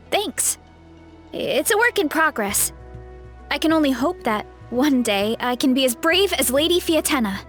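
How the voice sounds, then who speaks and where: A woman voicing a gentle, modest but brave young female warrior in a video game, speaking sincerely and earnestly. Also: high-pitched, lively intonation, measured pace. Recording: game music behind the voice.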